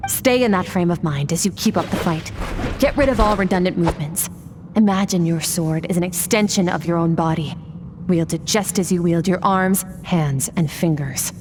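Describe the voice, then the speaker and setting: A woman playing a stern, aloof young sword master in an anime video game, measured and commanding as she instructs a pupil. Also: fairly low pitch, over music, natural voice. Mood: stern, focused.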